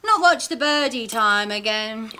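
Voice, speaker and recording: A scornful, sarcastic housewife. Her voice sits high and her retorts come quick. It is an old radio play. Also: expressive, bright, forceful tone, clear, resonant tone. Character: sharp-tongued nagging working-class wife. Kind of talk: radio drama acting.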